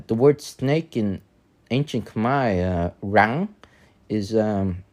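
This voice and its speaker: Slow, hesitant man with Vietnamese accent. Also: on the low side, darkish tone. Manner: hesitant, many fillers and restarts; thinking aloud while working. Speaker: streamer. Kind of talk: casual live-stream chat.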